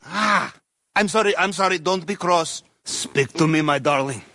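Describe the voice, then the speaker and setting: An actor playing a jovial, deferential Russian submariner in a video game, speaking courteous, slightly broken English with a Russian accent and wry dark humour. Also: male voice, on the high side, unhurried, no room sound, clean studio sound. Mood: muttering to himself. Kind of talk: video game character dialogue.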